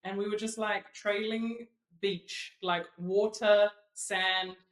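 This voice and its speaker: A woman's voice: medium pitch, steady tone, slow. A woman with a New Zealand accent, an opera singer and model, telling stories with giggles as a podcast guest. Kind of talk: casual interview chat. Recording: roomy echo.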